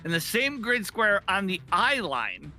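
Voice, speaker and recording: A man's voice: high voice, sing-song, a little slow, sharp tone, soft background music. A man co-commentating live esports, emphatic and given to repeating phrases for effect.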